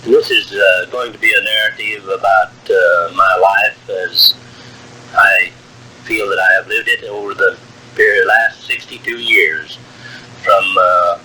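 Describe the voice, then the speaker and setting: A man in his sixties from Wyoming, telling his life story on a home cassette tape, halting, with many fillers, and a strong voice. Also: sits low, steady tone, bright, forceful tone, a little hiss or hum, low-quality recording. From Wyoming, USA. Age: 60s. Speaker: narrator of own life story. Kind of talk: taped personal memoir. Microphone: cassette recorder.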